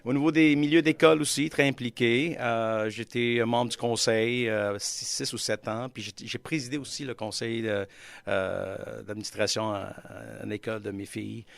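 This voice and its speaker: Man, fifties, gravelly voice, halting informal interview answers, repeated words. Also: mid-range voice, steady tone. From Quebec, Canada. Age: 50s. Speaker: local election candidate being interviewed.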